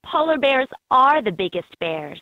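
A woman's voice: high voice, very animated, unhurried, dry recording. A woman voicing an upbeat guide in a children's educational animal game, in scripted narration with slightly dull game audio.

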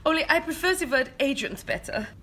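A woman's voice: sits high, expressive, fairly quick, pushed and bright, heavily acted. A woman playing a grave, melodramatic foreign secret agent who slips into German exclamations in an amateur radio play. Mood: grave, insistent. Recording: homemade recording with noticeable noise.

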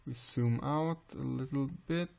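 A man with a Swedish accent calmly explaining music software in a screen-recorded tutorial, pausing often with "uh". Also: mid-range voice, gravelly. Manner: calm, unhurried explaining with frequent "uh" pauses.

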